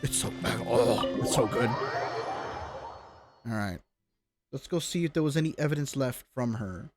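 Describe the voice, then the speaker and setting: A woman streams a game in a low voice with very animated intonation. Her commentary is casual and slangy, reacting as she goes. Game music is clearly audible behind her. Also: unhurried. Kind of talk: casual live gameplay commentary. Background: video game music.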